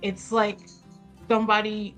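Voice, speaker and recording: A woman playing in a tabletop roleplaying game, improvising in character with asides, in candid, halting phrases. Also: mid-range voice, little pitch movement, a bit bright. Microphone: close microphone on online stream. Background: music.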